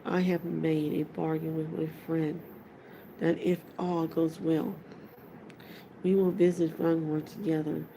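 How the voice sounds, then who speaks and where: Courteous storybook elf, male female reader, earnest and measured, some creak, faintly noisy recording. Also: sits low, flat delivery, warm tone, full character voice. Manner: formal, earnest.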